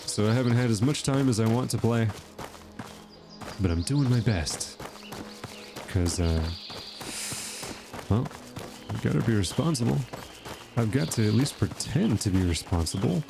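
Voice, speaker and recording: A man's voice: medium pitch, lively intonation, measured pace, creaky, long pauses, background noise. A man hosting a casual gameplay video, chatting and thinking aloud with lots of fillers while his nose is stuffed up from allergies. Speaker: gaming channel host.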